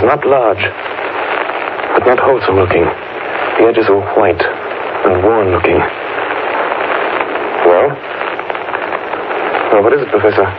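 An actor playing an earnest, anxious young doctor with formal, measured delivery in a 1930s radio drama. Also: male voice, on the low side, lightly acted. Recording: muffled, noisy 1930s radio recording.

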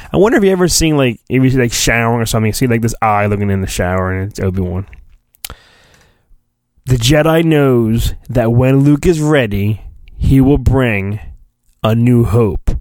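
A man hosting a podcast, giving a theatrical mock-dramatic reading of a storybook with drawn-out phrasing and goofy, rambling asides. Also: mid-range voice, animated, darkish tone, no echo.

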